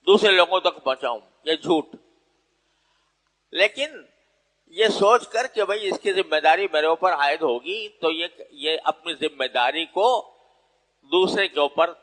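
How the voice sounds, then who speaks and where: A man's voice: fairly high pitch, a bit bright. A man preaching an Islamic moral sermon in a didactic, emphatic way, repeating phrases for stress. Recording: long hall reverb.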